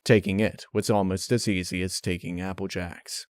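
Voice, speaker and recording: A man reading a dark fan fiction story as narrator, in a measured, dramatic way. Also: low-pitched, steady tone, no echo, studio quality. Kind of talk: dark audiobook-style narration.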